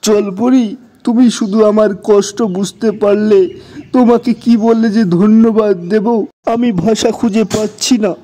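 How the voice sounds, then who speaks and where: A man's voice: high-pitched, fairly even intonation, a little slow, warm tone, voice pushed up. A man voicing a poor, emotional cowherd father in a cartoon, with a plaintive, melodramatic delivery. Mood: grateful. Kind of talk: Bengali moral-story cartoon dialogue.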